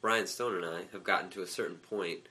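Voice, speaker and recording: A man co-hosting a casual gaming podcast, his voice a little worn from a cold, talking in a relaxed way with laughs between points. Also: low voice, brisk, heavy background noise. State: slightly lost voice. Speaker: podcast co-host.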